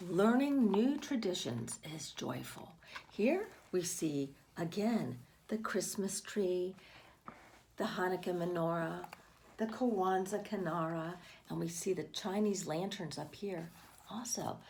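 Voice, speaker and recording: A woman with a fairly low voice reads a picture book to young children. Her delivery swings widely in pitch and often dips into creaky fry, as she chats to the listeners and puts questions to them. There is clear background noise in the recording. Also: slow. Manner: expressive, addresses young listeners with questions and exclamations. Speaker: read-aloud storyteller for children. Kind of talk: picture book read-aloud with asides to children.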